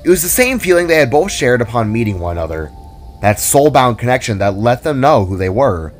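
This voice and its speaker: A man's voice: mid-range voice, big pitch swings, brisk. A man reading a scripted fantasy story as narrator in a dramatic style, with faint music behind.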